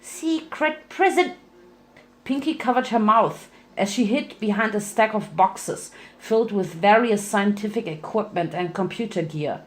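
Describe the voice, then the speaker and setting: A woman's voice: medium pitch, normal speed, hardly any pauses. A woman reads a story aloud as narrator, in a mid-range voice. Her delivery is dramatic and playful, with a theatrical touch suited to fan fiction.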